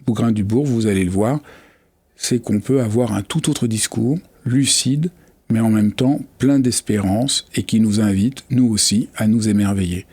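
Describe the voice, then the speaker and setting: A man's voice: medium pitch, darkish tone, husky, dry recording. Male host, effusive and admiring, dry close recording. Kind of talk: enthusiastic show introduction and interview questions.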